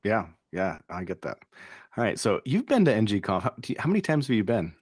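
A middle-aged man hosts a casual interview with upbeat, quick reactions. His voice sits fairly low. It is picked up dry and close on a boom mic in a home studio. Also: big pitch swings, slightly rough, no echo. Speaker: interview host. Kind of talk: casual video-call interview. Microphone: boom studio microphone with headphones.

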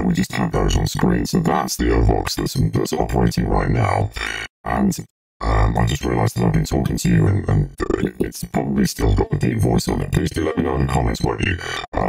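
A man talking through a pitched-down synthesizer voice changer as an electronic giant, halting and casual as in a live tutorial. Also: a little mellow, far from the mic, heavily acted, rough recording. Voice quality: gravelly, synthetic. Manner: halting, thinking aloud.

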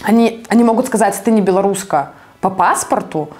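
A woman from Belarus answering interview questions as an activist, speaking conversationally and a bit haltingly as she thinks aloud. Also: mid-range voice, a little mellow, faint music behind.

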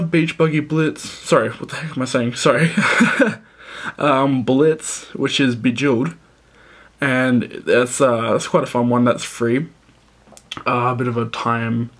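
A young man with a croaky voice from a cold, casually walking through the apps on his tablet in a dim room, rambling and correcting himself as he goes. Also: mid-range voice. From Australia. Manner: rambling, self-correcting, apologetic. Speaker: app reviewer. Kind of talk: casual app walkthrough. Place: dim room indoors, filming a tablet screen.

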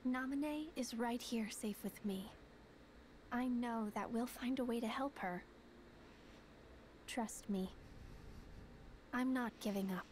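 Teen girl, fairly high, unhurried, kind, resolute, game dialogue. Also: a little mellow, slight background noise. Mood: determined. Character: kind, determined teenage girl.

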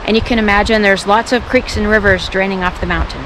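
A middle-aged woman talking casually to a handheld camera outdoors, giving relaxed, informative tips on hiking spots and road stops. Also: mid-range voice, quick pace, background noise. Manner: relaxed, informative tour-guide talk. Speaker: hiking vlogger. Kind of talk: casual travel vlog narration. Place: outdoors by roads, parking lots and trails. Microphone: handheld camera at arm's length.

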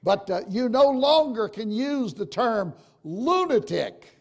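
An older man with a gravelly, raspy voice, preaching a folksy, emphatic sermon to his congregation. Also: very high voice, darkish tone. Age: older, 60s or 70s. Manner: emphatic, folksy, addressing listeners directly with questions. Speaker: preacher, church bishop. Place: church sanctuary, at the pulpit.

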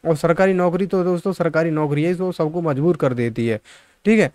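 A young man talks casually to his viewers. His voice sits a little high and is very clean and smooth. He is close to the microphone, with a dry sound and frequent conversational check-ins. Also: a little fast, a little mellow, no echo. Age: 20s. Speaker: YouTube job-news presenter. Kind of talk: casual informal update to viewers. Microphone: close microphone in front of mouth.

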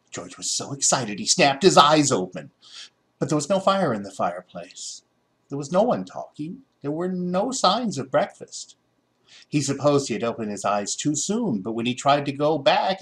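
A man's voice: a little high, big pitch swings, moderate pace, fairly bright tone. A middle-aged American man narrating a children's story expressively. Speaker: storybook reader.

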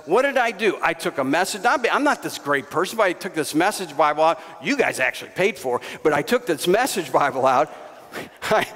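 A middle-aged man preaching a storytelling sermon on a church stage, speaking through a headset mic with animated delivery and sudden raised bursts. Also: sits high. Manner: animated, gestures widely, bursts into raised shouts. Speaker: pastor. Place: church stage before a congregation. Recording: long hall reverb.

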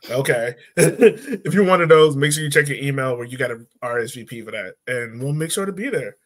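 A man's voice: mid-range voice, animated, brisk. A man in his thirties hosting a casual sports show over a video call, with a playful, teasing manner. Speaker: podcast host. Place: room at home, on a video call.

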